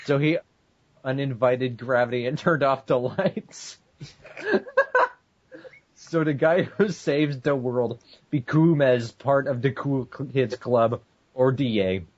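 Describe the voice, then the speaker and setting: A man's voice: medium pitch, slow, long pauses, a little mellow, dull audio. A man hosting an amateur podcast in a casual, bantering way. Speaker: podcast host.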